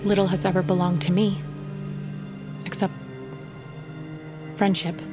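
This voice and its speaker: An actress playing a loyal, plain-spoken survivor in an audio drama, measured and earnest, with formal old-world phrasing. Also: female voice, on the low side, flat delivery, natural voice. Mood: pleading, guarded. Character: guarded, plain-spoken woman outcast, loyal friend, survivor. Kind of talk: audio fiction drama acting. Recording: dramatic music underneath.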